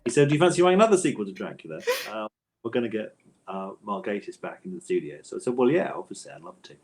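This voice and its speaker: Man, low voice, author interview, conversational, discursive, repeated words and self-interruptions. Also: big pitch swings, racing, darkish tone, slight background noise.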